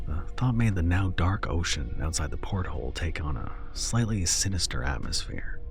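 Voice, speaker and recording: A man narrating a first-person horror story in a measured, storytelling way. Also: a bass voice. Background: soft background music.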